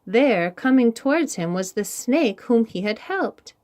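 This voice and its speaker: Female children's narrator, deliberate and unhurried, with lively intonation for picture-book read-alouds. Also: a little high. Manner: animated, deliberate, with sound words. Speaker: children's storybook reader. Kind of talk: expressive read-aloud storytelling for young children.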